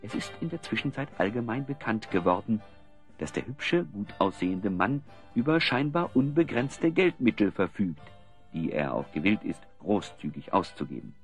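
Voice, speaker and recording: A man narrating a radio play in a wry, measured storytelling style with a husky voice. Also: lowish voice, big pitch swings, faint music behind.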